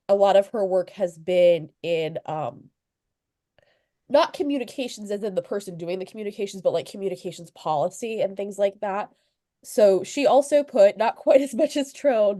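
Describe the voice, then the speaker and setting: Sniffly young woman reporter on a video call, lowish warm voice, chatty and relaxed. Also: no echo, studio quality. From Virginia, USA. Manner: chatty, fillers and restarts, light laughs. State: sniffly, under the weather. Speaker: local news reporter, guest speaker. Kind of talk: informal talk at a club meeting.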